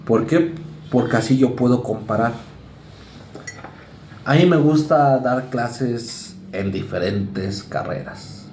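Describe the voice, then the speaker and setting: A male university lecturer with a Mexican accent, giving a conversational psychology class and acting out students and parents in quick asides. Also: mid-range voice, darkish tone, reverberant, slight background noise. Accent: Mexican Spanish.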